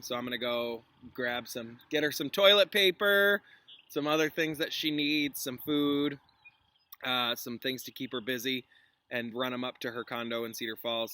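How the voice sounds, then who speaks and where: A man's voice: mid-range voice, sharp tone. A man who teaches school, reading a story aloud with informal, chatty asides and explanations. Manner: informal, interjects explanations and reactions.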